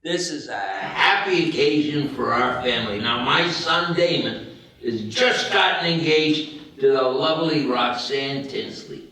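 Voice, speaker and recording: A man playing an upbeat city councilman and proud father at a table read, speaking in a measured, public way. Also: mid-range voice, expressive, sharp tone. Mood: happy, proud. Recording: very echoey room, distant mic.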